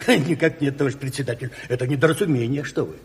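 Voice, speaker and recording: An actor playing a weaselly, swaggering bathhouse commissar in a comic radio drama, whiny, indignant and full of pompous slogans. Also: male voice, medium pitch, fast talker, lightly acted. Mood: oily, pompous. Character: weaselly, swaggering bathhouse commissar, self-righteous deserting husband. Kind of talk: comic stage-style radio drama acting. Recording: hall reverb of 1970s radio play.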